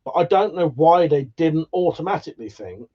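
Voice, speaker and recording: Sweary jokey streamer, lo-fi. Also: male voice, a little high, expressive, fairly quick. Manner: sweary, jokey, laughs often. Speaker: livestream host. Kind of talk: casual livestream chat, reading out comments.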